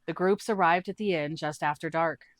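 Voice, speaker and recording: A woman's voice: sits low, normal speed, no room sound. A woman storyteller reading a scripted fantasy adventure tale as narration.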